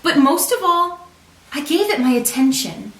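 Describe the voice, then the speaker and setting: A woman reading a picture book aloud in an expressive, deliberate storytelling manner for children. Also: high voice. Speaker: storybook reader, teacher. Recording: roomy echo, distant microphone.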